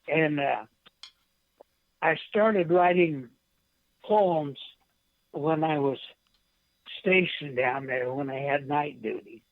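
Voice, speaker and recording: An American man in his seventies with a somewhat high voice, speaking as a guest on a radio show. His delivery is halting and plainspoken, and the sound is dull, muffled and dry. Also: dry recording. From United States. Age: 70s. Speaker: guest, poet and veteran. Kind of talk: interview reminiscence.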